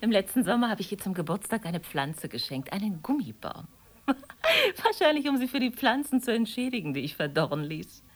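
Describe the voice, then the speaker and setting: An actress in a German radio play voicing a wistful, gently reproachful former lover, often breathy and near a whisper, speaking in a pensive, softly ironic way. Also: female voice, mid-range voice, big pitch swings, moderate pace. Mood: light, evasive.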